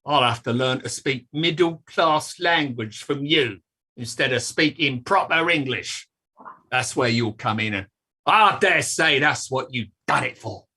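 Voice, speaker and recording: An actor playing an aggrieved old dustman with a raspy voice, declaiming his complaints in a staged reading. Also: mid-range voice, unhurried, sharp tone, exaggerated voice. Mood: indignant. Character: blustering, aggrieved old working-class English dustman.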